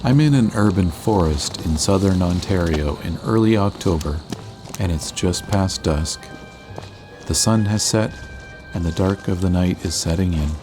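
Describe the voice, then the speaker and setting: A man hosting a birding show, explaining what he observes in a measured way as narration and field commentary. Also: sits low, music in the background. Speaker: birding podcast host.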